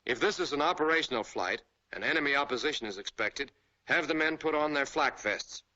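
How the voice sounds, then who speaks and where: American man, bright forceful voice, 1940s instructional film narration, authoritative direct address, dull band-limited old soundtrack. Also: fairly high pitch, animated, fairly quick.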